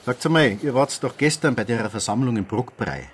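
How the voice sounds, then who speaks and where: A man's voice: mid-range voice, animated, brisk, a little hiss or hum, close to an everyday voice. An actor playing a down-to-earth, placating small-town policeman with a Bavarian accent in a naturalistic radio drama. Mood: matter-of-fact. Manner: matter-of-fact, colloquial.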